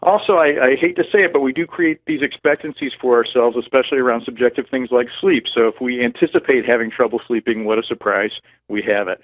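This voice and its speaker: A man, a cannabis researcher, answering listener questions as a guest over the phone in a measured, careful way. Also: medium pitch, no echo. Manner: measured, hedging, explanatory. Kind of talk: phone-in expert interview. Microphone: telephone. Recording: tinny phone line.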